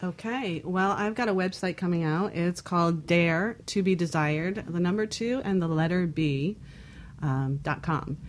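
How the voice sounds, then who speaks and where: A woman speaks as a guest on a talk show. Her voice sits a little lower than most women's. Her delivery is casual and conversational. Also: unhurried, slight background noise. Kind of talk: casual chat.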